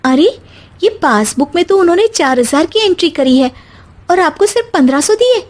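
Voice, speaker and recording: Lady doing a feisty young city daughter-in-law, pretty high voice, speaks her mind, story drama. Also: lively intonation, moderate pace, a little mellow. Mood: alarmed. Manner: earnest, outspoken.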